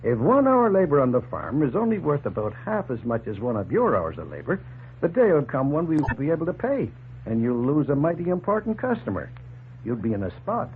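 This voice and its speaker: An actor playing a hospitable, plain-spoken farmer in a 1940s radio drama, earnest and explanatory. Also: male voice, on the high side, huge pitch swings, brisk, slight background noise. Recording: tinny, humming 1940s radio recording.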